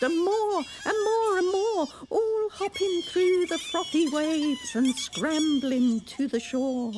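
Slow, lively woman reciting poetry. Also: a little high, noisy background. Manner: theatrical, measured storytelling. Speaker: poem reader. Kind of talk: expressive children's poetry recital.

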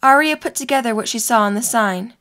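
A woman's voice: on the high side, fairly quick, a bit bright. A young woman reading scripted story narration for an audio drama.

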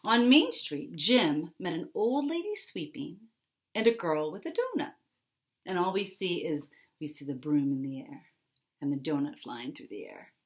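Woman reading a picture book, unhurried. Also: on the low side. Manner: dramatic, with deliberate pauses and emphasis. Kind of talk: expressive children's story narration. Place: small furnished room at home, indoors.

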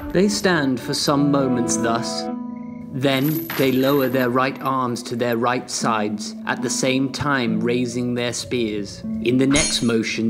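A man gives scripted narration for an audio play. He is formal and unhurried, with a medium pitch, and loud music plays throughout. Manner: formal, measured. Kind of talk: scripted stage-direction narration.

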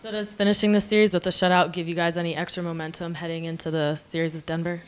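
A woman's voice: on the low side, level tone, quick pace, clean tone. An American woman interviewing players and a coach at a post-game press conference, asking polite questions with a few ums. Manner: polite, with um fillers.

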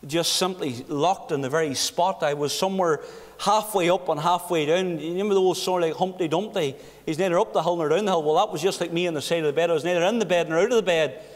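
A middle-aged man preaching a sermon behind a pulpit, earnest, with rhetorical questions and hushed passages. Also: fairly high pitch. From Northern Ireland. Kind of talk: sermon with personal story. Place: church hall behind a wooden pulpit. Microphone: pulpit mic from a far camera. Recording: echoey church hall.

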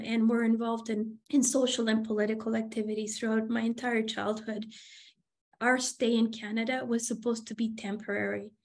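Older woman, flat and gravelly delivery, reflective interview guest on a home video call. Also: fairly high pitch. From Chile. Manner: reflective, measured storytelling with frequent repeated words. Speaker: guest, union vice president. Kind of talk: conversational interview.

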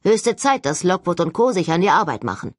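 A breezy young male leader from an adventure novel , voiced by a woman. He is confident and cheerfully commanding, rallying his team with rhetorical flourish. The delivery is animated and very dry in sound. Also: fairly low pitch, brisk, dry recording. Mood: brisk, pleased.

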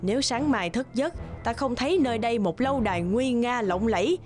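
A woman's voice: on the high side, expressive, a little fast, a little mellow, soft background music, close to an everyday voice. A woman voicing a cruel middle-aged stepmother in a cartoon, scornful, domineering and threatening. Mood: menacing, scornful. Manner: scornful, commanding.

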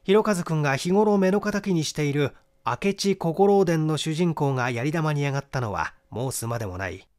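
A middle-aged man reading a literary mystery story as an expressive, dramatic audiobook narrator. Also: on the high side, normal speed, few pauses. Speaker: audiobook narrator, stage actor.

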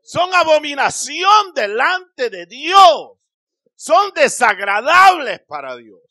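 A middle-aged male preacher delivers a sermon into a handheld mic in a small church hall. His voice is bright and forceful and moves slowly, stretching each phrase. His pitch swings widely and he breaks into shouts for emphasis. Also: big pitch swings. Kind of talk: Spanish-language Pentecostal sermon. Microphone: handheld microphone.

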